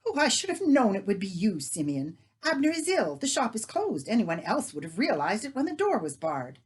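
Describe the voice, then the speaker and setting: A woman voicing a fussing, talkative middle-aged baker's wife who rattles on in a shrill, bustling way. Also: very animated, moderate pace, slightly rough. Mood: chattering.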